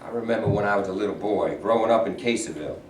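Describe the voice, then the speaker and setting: A man plays a stern, authoritative state governor in a stage drama. His voice is on the low side and somewhat halting. It sounds distant and echoey, with faint noise. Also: lightly acted. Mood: reflective. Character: stern, touchy bigoted Southern state governor. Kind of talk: staged play reading. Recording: echoey, noisy stage recording from far off.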